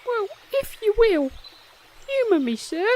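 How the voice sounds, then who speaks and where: Performer as very high-pitched, meek young squire. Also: sing-song, unhurried, darkish tone, full character voice. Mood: patient. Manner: deferential, hesitant, patient. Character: meek, long-suffering young squire, politely contradicting his master. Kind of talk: comedy fantasy sketch acting.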